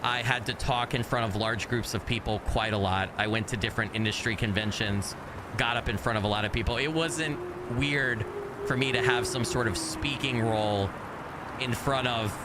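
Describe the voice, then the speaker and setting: A man's voice: medium pitch, moderate pace. Man, american, faint regional accent, rambling game streamer, faint outdoor noise behind. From United States. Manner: rambling, restarts sentences often. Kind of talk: casual gameplay chat with viewers.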